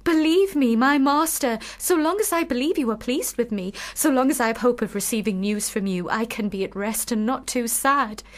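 A woman's voice: fairly high pitch, moderate pace, hardly any pauses, close to an everyday voice. An actress playing a passionate, bitter, lovelorn young woman in a radio play, with intense, literary, theatrical delivery. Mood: pleading, anguished.

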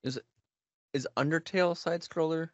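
A man's voice: on the high side, normal speed, no echo. A man in his thirties chatting casually as a podcast co-host. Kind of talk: casual chat. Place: home bedroom, indoors. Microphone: headset on a video call. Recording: slightly muffled, dry online call audio.

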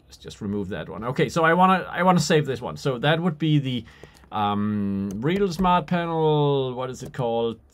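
A man in his forties giving an unscripted software tutorial, thinking aloud and halting as he demonstrates. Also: mid-range voice, big pitch swings. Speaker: product demonstrator.

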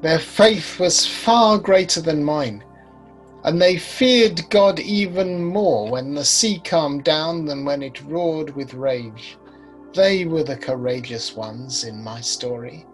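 A man in his sixties playing an older, rueful prophet recalling his past in a measured, reflective dramatic monologue. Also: on the high side, soft background music, low-quality recording. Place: home study, indoors. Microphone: video call.

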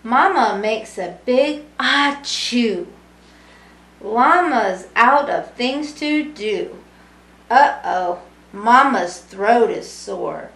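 Middle aged lady reading a kids rhyming book, highish voice, singsong, echoey room. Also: animated, a bit bright. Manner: deliberate, singsong phrasing with explanatory asides to children. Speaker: storybook reader, early childhood education student. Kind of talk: read-aloud of a rhyming picture book for young children. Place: small indoor room with brick wall. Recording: roomy, echoing home recording.

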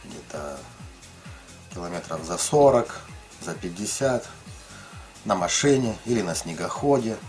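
A man's voice: low voice, lively intonation, measured pace, pauses often, darkish tone, soft background music. A middle-aged man casually explaining his ice fishing tackle, rambling with many fillers and restarts. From lived in Ukraine, now in the Russian far north.

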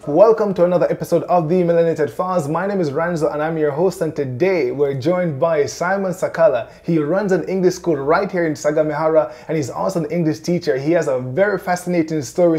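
Male host, 30s, light-pitched but mellow, relaxed interviewer. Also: fairly quick, few pauses. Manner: upbeat presenter intro, then relaxed prompting. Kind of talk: interview hosting. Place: small room with bookshelf, indoors.

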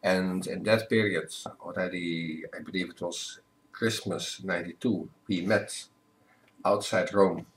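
Low-voiced older man, measured. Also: fairly even intonation, slight background noise, poor audio. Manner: careful, measured, with self-corrections. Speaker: priest, guest. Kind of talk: relaxed interview answers. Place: home living room. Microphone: webcam at desk.

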